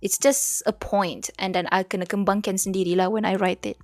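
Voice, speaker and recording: A woman's voice: medium pitch, steady tone, fairly quick, dry recording. A young Malaysian woman with a Malaysian accent, chatting casually on a study stream, full of fillers, switching between English and Malay, sometimes reading her notes aloud. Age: young adult.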